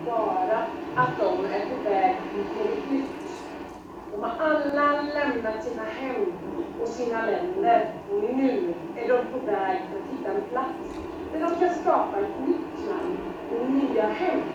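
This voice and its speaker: A woman teacher telling a story to a class of children, slow and deliberate with dramatic pauses. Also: a little high, lively intonation, very echoey, distant mic, muffled recording, heavy background noise.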